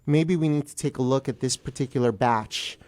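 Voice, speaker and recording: Male legal guest for a talk show, medium pitch, explains conversationally with emphatic repetition. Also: fairly quick, a little mellow.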